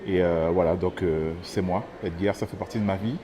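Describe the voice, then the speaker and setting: A man in his thirties from French Guiana, telling his own story haltingly, with many fillers and restarts. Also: low-pitched, fairly even intonation, crowd noise. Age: 30s. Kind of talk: personal testimony to camera. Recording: music playing under the voice.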